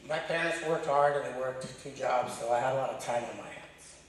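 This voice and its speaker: A middle-aged man, a high school wrestling coach, giving an informal, emphatic memorial tribute full of stories in a loud voice. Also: fairly quick. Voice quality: loud, booming. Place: large echoey room at a high school. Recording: very echoey hall, distant, faint noise.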